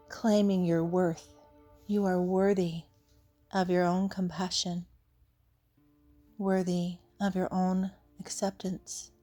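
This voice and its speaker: Slow, mellow woman guiding meditation. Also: fairly low pitch. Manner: soothing, gentle, unhurried with long pauses. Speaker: meditation guide, healer. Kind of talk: unscripted guided meditation. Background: soft background music.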